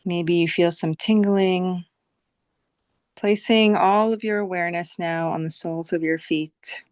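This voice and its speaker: A woman leading a guided visualization meditation as a teacher, speaking soothingly in short phrases with long, unhurried pauses. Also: lowish voice, fairly even intonation, a little mellow, dry recording, clean studio sound.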